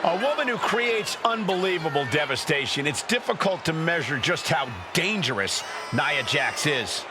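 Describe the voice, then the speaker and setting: A man's voice: fairly high pitch, animated, normal speed, natural voice. A man calling wrestling play-by-play in a dramatic sports commentary style for a video game. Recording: loud crowd and arena noise.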